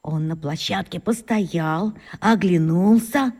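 A woman playing an excitable, boastful schoolboy who fancies himself a detective, telling his tales breathlessly in a children's radio drama. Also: lowish voice, takes it slowly, breathy edge, full character voice. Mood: boastful, suspenseful. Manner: breathless, boastful storytelling with exclamations.

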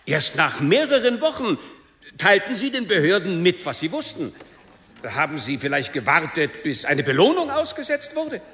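An actor playing a probing defence lawyer in a courtroom radio drama, questioning in a pointed, insinuating way. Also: male voice, sits high, sing-song, normal speed, bright, forceful tone, heavily acted. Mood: accusatory, insinuating. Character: probing, accusatory male defence lawyer in cross-examination. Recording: reverberant courtroom-like hall.

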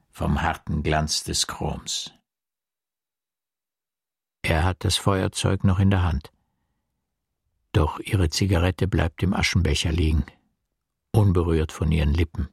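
A man narrating a bleak, poetic noir radio drama as an intense observer, in a deliberate delivery. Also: low-pitched, creaky, slightly rough, full character voice.

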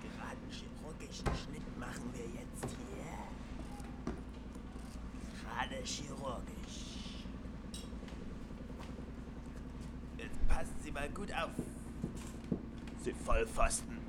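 A man's voice: medium pitch, takes it slowly, pauses often, close to an everyday voice. A man playing a frantic, abusive, overwrought character in an amateur comic radio play. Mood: manic, insulting. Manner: overwrought, exclaiming. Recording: noisy, with sawing and rubbing wood.

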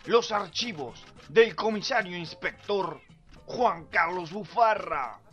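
A man's voice: high voice, expressive, slow pace, a bit bright, soft background music, exaggerated voice. A man playing a bombastic, declamatory narrator introducing a mock-heroic cop serial on radio. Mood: dramatic. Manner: dramatic, declamatory. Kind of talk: scripted radio drama intro.

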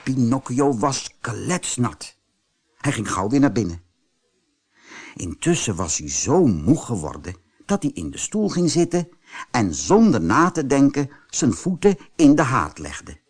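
A man narrating a children's fairy tale audiobook as an expressive, scripted storyteller. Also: medium pitch, a little slow, husky.

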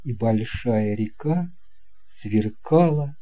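A man narrating a scripted children's tale as an audiobook reader, in deliberate, measured phrases. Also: mid-range voice, expressive, warm tone, rough recording.

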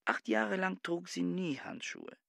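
A brooding, pedantic scholar. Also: male voice, high voice, lively intonation, unhurried, creaky, a bit bright, dry recording, studio quality, full character voice. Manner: stiff, formal, brooding inner monologue. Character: pedantic, reclusive male scholar, about forty. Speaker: actor playing Peter Kien. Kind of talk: radio play acting.

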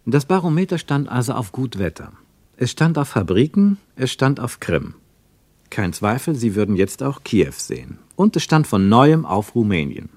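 A man's voice: mid-range voice, very animated, moderate pace, a little mellow, no room sound. A man narrating a literary radio drama from a script.

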